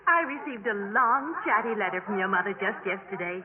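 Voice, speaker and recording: A woman's voice: mid-range voice, lively intonation, brisk, background noise, close to an everyday voice. An actress playing an effusive, motherly middle-aged sorority housemother, fond and fussy, in 1950s radio drama style. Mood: effusive fondness. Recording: echoey phone-like 1950s radio recording, audience.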